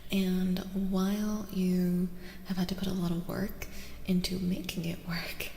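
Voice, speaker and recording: A woman speaking softly in an ASMR style as she gives a tarot reading, often slipping into whispers. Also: fairly low pitch, flat delivery, unhurried, gravelly. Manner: soft-spoken, slipping into whispers. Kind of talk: soft-spoken ASMR. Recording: roomy, long reverb, faint noise.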